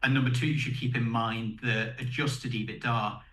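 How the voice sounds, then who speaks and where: A man's voice: medium pitch, fairly even intonation, moderate pace, a bit bright, reverberant. A man presenting company results on an earnings call in a methodical, explanatory way, point by point.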